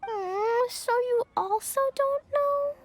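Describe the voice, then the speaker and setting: A woman voicing a sweet, innocent little girl in a video game, childlike and earnest. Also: takes it slowly. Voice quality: childlike, squeaky. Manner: guileless, earnest. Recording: music behind.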